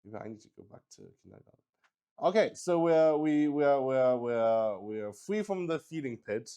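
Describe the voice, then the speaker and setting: A man's voice: medium pitch, expressive, brisk, mellow, clear, resonant tone. A man narrating a solo tabletop roleplaying game casually, thinking aloud, mocking himself and swearing freely. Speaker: solo player and game master.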